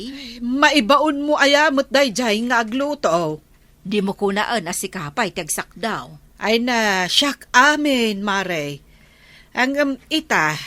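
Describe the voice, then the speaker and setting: A woman's voice: fairly high pitch, pushed and bright, no room sound. A woman playing a scolding, exasperated village wife in an Ilocano radio comedy, nagging emphatically with an Ilocano Filipino accent.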